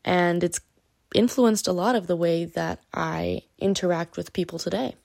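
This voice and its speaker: A young woman tells her story in a casual, hesitant way, stopping often for fillers. Her voice is low and gravelly, recorded close and dry. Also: moderate pace, a little mellow, dry recording. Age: young adult. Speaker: guest. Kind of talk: telling a personal story.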